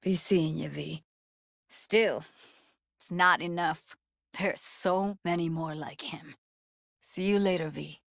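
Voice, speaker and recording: A young, tech-savvy woman from a video game, speaks in a lowish voice with a dry, close studio sound. She is blunt and guarded but tender underneath. Her phrasing is casual, slangy and clipped, delivered naturally. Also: fairly even intonation, slow, gravelly, long pauses, no echo.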